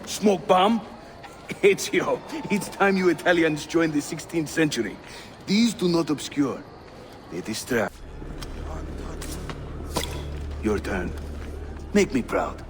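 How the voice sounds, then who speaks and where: An actor with a Turkish accent playing an affable, cocky assassin leader in a video game. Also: male voice, fairly high pitch, animated, a little slow. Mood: teasing. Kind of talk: video game dramatic acting. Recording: game audio with clear background noise.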